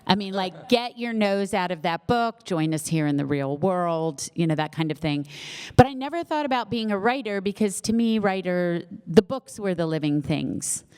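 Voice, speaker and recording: A woman's voice: on the low side, animated, normal speed, smooth. Chatty middle-aged woman with a slight lisp. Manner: chatty, warm-humored, self-deprecating, many fillers. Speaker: author at book launch. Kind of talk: casual Q&A chat with audience. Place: event hall with live audience.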